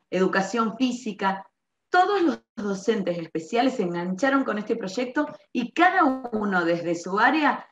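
A middle-aged woman with an Argentine accent from around Rosario, informally explaining a school project as a librarian, with hesitant pauses and stretched words. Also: medium pitch. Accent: Argentine, Rosario area. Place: small bare-walled room indoors. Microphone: computer microphone on a video call. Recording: dull, echoey webcam audio.

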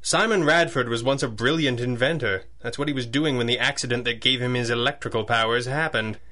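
An actor playing a stern, determined masked crime fighter in a pulp radio drama, with clipped, formal, authoritative speech. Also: male voice, medium pitch, a little fast, pushed and bright, close to an everyday voice. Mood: insistent. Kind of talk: 1930s-style pulp radio drama acting.

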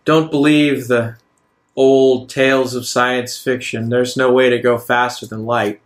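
Guy doing a really old rich genius villain for a tabletop game, slow measured talk, explains himself. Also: medium pitch, flat delivery, lightly acted. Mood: calm, explaining. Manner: measured, self-justifying. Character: man in his nineties, reclusive wealthy genius villain.

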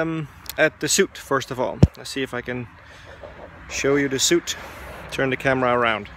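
A man's voice: mid-range voice, fairly bright tone. Guy in his 40s doing a selfie vlog outside, lots of ums, hunting for words, bit of background noise. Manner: hesitant, many fillers, searches for words. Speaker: dive instructor vlogger. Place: outdoors, covered shelter and lawn. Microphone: phone camera at arm's length.

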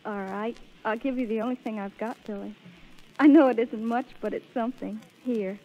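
A woman's voice: a little high, fairly even intonation, moderate pace, dark tone. An American actress playing a sweet, loyal teenage carnival girl in old radio drama, earnest and hesitant. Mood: tender, regretful. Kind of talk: 1930s radio drama acting. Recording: dull vintage radio recording.

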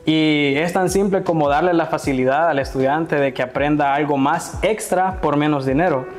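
A man's voice: fairly high pitch, moderate pace. A young man in his twenties from El Salvador, eagerly explaining his language school in a casual interview, with many fillers. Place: small classroom, indoors. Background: soft background music.

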